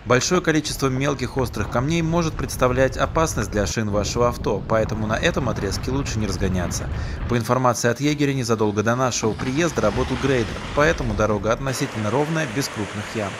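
A man's voice: medium pitch, fast talker, noisy background. A man reading a scripted voice-over narration for a travel video.

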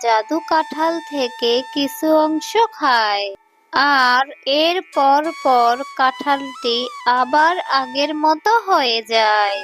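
A woman narrates a children's story in a high, bright voice. Her pace is slow, and faint music plays behind her.

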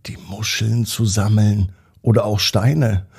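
A man with a German accent narrating a bedtime story for children in a calm, gentle way, speaking straight to the young listeners. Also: lowish voice, slow pace, gravelly, husky.

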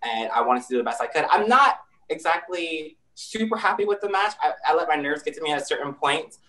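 A person in their twenties, a wrestler and dancer from New Jersey, talking animatedly and laughing over a video call. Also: male voice, fairly high pitch, brisk, poor audio. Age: 20s. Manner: animated, laughing, acts out quoted voices. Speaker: guest, professional wrestler and dancer. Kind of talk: casual interview on a video call.

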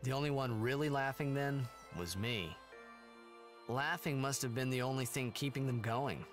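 A man's voice: mid-range voice, moderate pace, natural voice. An actor playing an earnest, easygoing teenage boy hero in a video game. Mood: wistful. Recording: game music behind voice.

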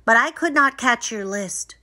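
A woman's voice: a little high, animated, a little slow, bright, forceful tone, pitched above the performer's own voice, heavily acted. A woman voicing a friendly, helpful male frog, an eager companion in a children's storybook read-aloud. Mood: apologetic, gentle.

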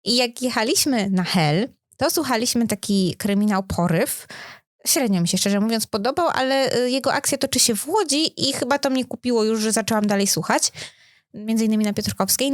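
A woman's voice: fairly high pitch, moderate pace, dry recording, studio quality. A woman co-hosting a podcast in casual conversation, chatty and informal, thinking aloud as she talks. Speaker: podcast co-host.

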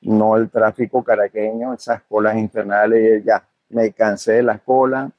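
Older man, low husky voice. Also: level tone, normal speed, dry recording, highs cut off. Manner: hesitant, full of fillers and restarts. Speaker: hotel owner and manager. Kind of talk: conversational interview answers.

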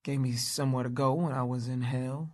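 An actress playing a gruff, bitter, hard-drinking motel recluse in an audio play, curt and needling, with folksy speech. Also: female voice, a bass voice, steady tone, brisk, a little mellow. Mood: guarded, dry. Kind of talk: audio drama acting of a stage play.

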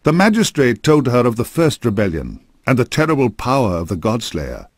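A man's voice: mid-range voice, very animated, moderate pace, no room sound. A man narrating a fantasy game from a script in a solemn, formal storytelling manner, close on a studio microphone.

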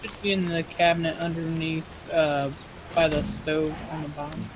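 A woman with a low voice joining a gaming livestream, talking in a dry, teasing way. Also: level tone, normal speed, noisy background. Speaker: co-player on stream. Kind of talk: casual gaming chat.

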